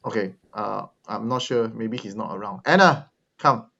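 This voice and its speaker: A man's voice: lowish voice, moderate pace, gravelly, bright, forceful tone, dull audio. A man hosting an online user group meetup, talking casually with lots of fillers and restarts. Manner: hesitant, many fillers. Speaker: meetup host. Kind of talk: casual video call chat. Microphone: video call.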